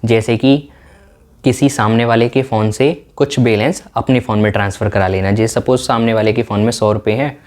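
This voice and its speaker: A man's voice: on the low side, steady tone, a little fast, a little mellow. A man narrating in an explanatory, documentary style.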